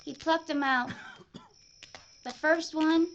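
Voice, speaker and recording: An actress playing a young frontier woman blinded by a killer, telling her story in a halting, haunted way. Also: high voice, fairly even intonation, a bit bright, a little hiss or hum, full character voice. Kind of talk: audio drama acting. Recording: dull lo-fi audio.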